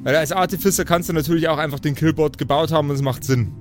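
Higher-pitched man explaining over music. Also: fast talker. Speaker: game master. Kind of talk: casual explanatory chat. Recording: prominent music bed underneath. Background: background music.

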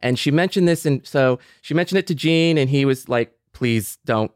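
A man's voice: mid-range voice, normal speed, a little mellow, dry recording, clean studio sound. A middle-aged American man in a casual panel discussion, telling anecdotes as an expert guest. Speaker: podcast panelist and Star Trek expert.

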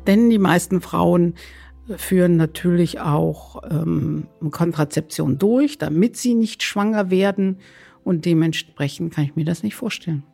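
A woman speaking as an expert flight physician in an interview, explaining haltingly and repeating words for emphasis. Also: on the low side, vocal fry, dark tone, breathy edge, soft background music.

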